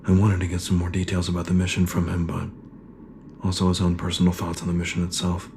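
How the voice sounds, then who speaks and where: An actor playing an earnest, probing and respectful sergeant in a sci-fi audio drama. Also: male voice, deep voice, completely flat delivery, quick pace, darkish tone, slight background noise. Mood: earnest, questioning.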